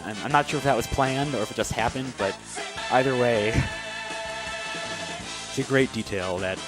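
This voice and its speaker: Rambling man commentating over loud game music. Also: mid-range voice, unhurried, low-quality recording. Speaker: speedrunner. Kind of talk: live gameplay commentary. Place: livestreamed charity gaming marathon.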